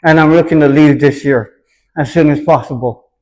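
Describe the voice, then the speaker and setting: Man, fairly high-set voice, earnest, deliberate, reflective interview answers. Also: darkish tone, low-quality recording. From Hawaii, United States. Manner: earnest, deliberate, repeats the question before answering.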